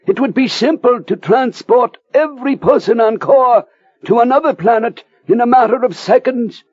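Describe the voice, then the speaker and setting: A man's voice: very high voice, normal speed, a little mellow, no echo. A man playing an earnest alien councilman of science, speaking in a formal, stagy way in an old radio drama. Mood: grave. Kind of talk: old-time radio sci-fi drama acting. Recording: dull, dry old radio recording.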